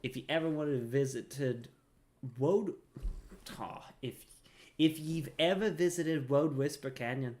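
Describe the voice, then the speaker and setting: A man reads out a gruff working-class tavern keeper from a fantasy game. The talk is folksy and chatty, stumbling now and then, at a middling pitch. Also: darkish tone, lightly acted. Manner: folksy, colloquial, stumbles while reading aloud. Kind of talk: reading fantasy game dialogue aloud.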